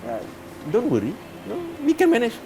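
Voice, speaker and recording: A middle-aged man from Malaysia, giving an interview as a guest and talking in a halting way, with many repeated words and fillers. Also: very high-pitched, wildly swooping pitch, over music. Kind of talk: casual interview talk.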